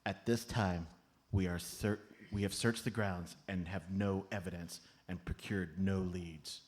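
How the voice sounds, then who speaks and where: Low, deadpan man playing an officious Christmas elf. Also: a little slow. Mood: solemn, official. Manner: deadpan, long-winded, official. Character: Caleb, tiny, solemn, officious male Christmas elf foreman. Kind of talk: live comedy radio play. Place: live on stage at a comedy club.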